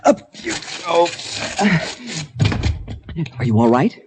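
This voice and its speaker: An actor playing a strong but unsure, polite man on the run in a radio drama, speaking haltingly. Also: medium pitch, big pitch swings, background noise. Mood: anxious, straining.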